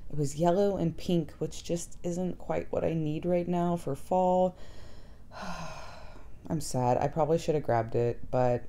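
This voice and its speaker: A woman's voice: deep voice, takes it slowly. A woman narrating a casual shopping vlog as its host, in a chatty, folksy way. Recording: soft background music. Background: music.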